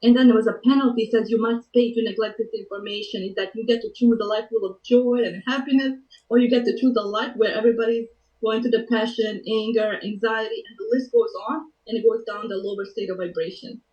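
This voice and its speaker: A woman from Bosnia tells her personal story as a guest, earnest and emotional and softly spoken. She sits some distance from the mic in a roomy space. The sound is dull and muffled. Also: a little high, steady tone, fairly quick. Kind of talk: personal story on a talk show.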